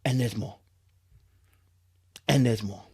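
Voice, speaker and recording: A man's voice: medium pitch, slow, darkish tone, husky, dry recording, studio quality. A British man doing casual online commentary, reading aloud dramatically and repeating phrases for emphasis in a teasing, incredulous way.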